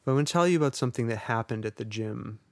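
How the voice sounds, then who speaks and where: A man's voice: medium pitch, racing, no echo. A man co-hosting a podcast in casual chat, rambling and wry, poking fun at himself. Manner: wry, rambling and self-deprecating. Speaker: podcast co-host.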